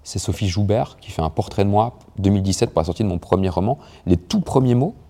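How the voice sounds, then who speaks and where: A man's voice: lowish voice, expressive, quick pace, dark tone. A young man, a writer from France, talking about language in an interview, hesitant with many restarts and fillers. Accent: neutral Parisian French. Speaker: writer and poet.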